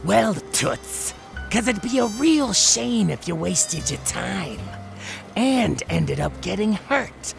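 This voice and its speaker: An actor playing a menacing noir thug in an audio drama, mock-friendly and threatening. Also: male voice, sits high, sing-song, takes it slowly, vocal fry, heavily acted. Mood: sly menace. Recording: music behind the voice.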